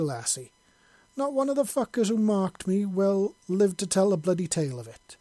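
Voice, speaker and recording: A man's voice: on the high side, mellow, dry recording, lightly acted. A man voicing an older Scottish ex-soldier with a Scottish accent, blustering and swearing defiantly in a storybook reading. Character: older Scottish male ex-soldier, brash and profane.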